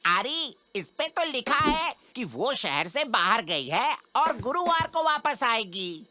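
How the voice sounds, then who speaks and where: Bright, high-pitched little cartoon woodpecker. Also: female voice, very animated, takes it slowly, faint music behind, heavily acted. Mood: eager. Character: chirpy, eager little cartoon woodpecker, impatient puzzle solver. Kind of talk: children's cartoon dubbing.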